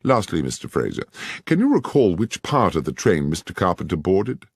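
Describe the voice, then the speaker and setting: A man reading aloud, voicing a courteous, probing gentleman detective. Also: low-pitched, wildly swooping pitch, moderate pace, slightly rough, no room sound, studio quality, full character voice. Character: keen, incisive gentleman detective.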